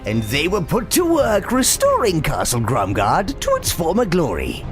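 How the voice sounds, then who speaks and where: An actor voicing a sly, gleefully villainous old minion creature in a video game, theatrical and scheming with mock deference. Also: male voice, on the high side, huge pitch swings, moderate pace, faint music behind. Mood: wry storytelling. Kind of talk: video game narration and instructions.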